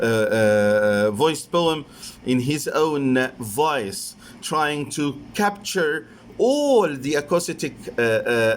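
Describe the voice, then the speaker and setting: Egyptian man lecturing over background noise. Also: fairly high pitch. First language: Arabic. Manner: halting, hesitant delivery with repeated phrases. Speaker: professor presenting an academic paper. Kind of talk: academic conference lecture with slides.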